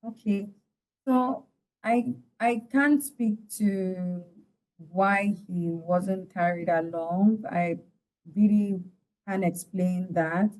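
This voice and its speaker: A Nigerian woman giving explanatory interview commentary as an analyst, deliberate and pausing mid-sentence. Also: mid-range voice, steady tone, a little mellow, rough recording. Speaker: studio guest analyst.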